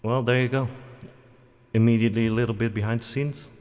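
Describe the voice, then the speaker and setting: A man's voice: mid-range voice, steady tone, brisk, darkish tone. A young man with a Dutch accent, a native Dutch speaker, casually introducing himself on a livestream, rambling with lots of fillers and self-deprecating jokes. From Netherlands. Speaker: VTuber streamer.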